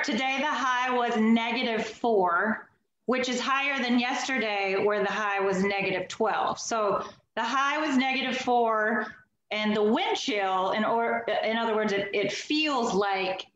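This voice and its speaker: A woman's voice: on the high side, unhurried, a bit bright, very echoey, highs cut off, a little hiss or hum. A woman in her forties, originally from Alabama, chatting upbeat as a guest over a video call from her home loft. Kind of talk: casual video call interview.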